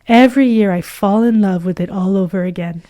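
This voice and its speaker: A woman from Ukraine, a harvest worker, speaking interview answers as a voice-over in a steady, matter-of-fact way. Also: mid-range voice, a little fast. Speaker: harvest worker at the pressing center.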